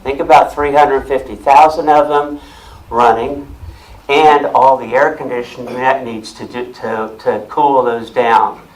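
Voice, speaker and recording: A man giving a loud, plain-spoken talk to a club audience, often repeating and restarting words as he explains. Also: medium pitch, fairly even intonation, a little slow, poor audio. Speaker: guest speaker on technology. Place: meeting room with audience. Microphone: handheld or stand mic with speaker system.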